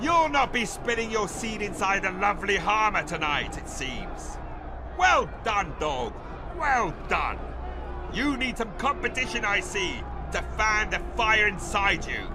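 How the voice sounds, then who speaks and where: High-pitched, gloating fight-pit master. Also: male voice, sing-song, background noise. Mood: gleeful taunting. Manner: sneering, theatrical, drawn-out taunting. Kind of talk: dramatic video game voice acting.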